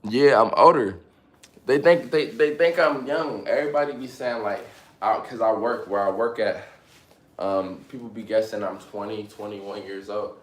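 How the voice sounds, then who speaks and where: Motivational low-voiced man in his twenties. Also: normal speed, echoey room. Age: 20s. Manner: repeats phrases, motivational, fillers like "you know what I'm saying". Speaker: fitness live streamer. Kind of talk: casual live stream chat.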